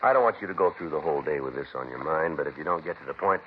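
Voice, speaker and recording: A man's voice: rumbling low, rapid-fire. A man playing a dry, businesslike American police detective sergeant in a 1950s radio drama, speaking in a clipped, matter-of-fact way. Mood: dry, patient. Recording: noisy, muffled old radio broadcast.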